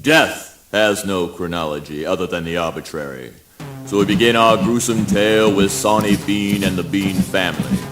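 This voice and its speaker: Man as grim narrator over loud music. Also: low voice, lightly acted. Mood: grim, solemn. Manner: theatrical, deliberate. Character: grim, mock-solemn narrator of gruesome history. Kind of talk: scripted narration in spoken word play.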